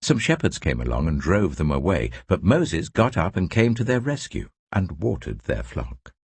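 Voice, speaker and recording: Very deep, expressive male scripture narrator. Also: vocal fry, husky, no room sound. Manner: measured, expressive storytelling. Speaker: Bible narrator.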